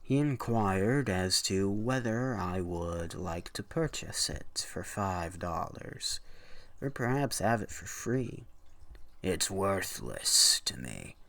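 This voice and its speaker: A man narrating in a deliberate, theatrical way, as a dramatic reader of horror stories. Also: fairly low pitch, no echo. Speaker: narrator of horror story readings.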